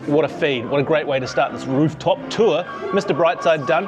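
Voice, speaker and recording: A man in his forties with a New Zealand accent, excitedly tasting food and touring rooftop bars, filmed on a handheld camera. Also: a little high, normal speed. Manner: enthusiastic, chatty, exclaims over food. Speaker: food vlogger. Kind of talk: casual food and travel vlog. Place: rooftop bars, outdoors and under cover. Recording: music playing behind.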